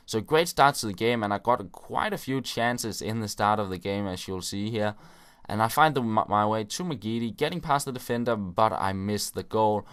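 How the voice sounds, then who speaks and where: A man hosting a gaming video, giving casual play-by-play commentary on his own matches with frequent filler words. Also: on the low side.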